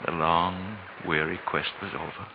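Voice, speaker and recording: A man narrating a 1940s radio drama in a solemn, theatrical, measured way with dramatic pauses. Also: low voice, steady tone, noisy background. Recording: muffled 1940s radio recording. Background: music.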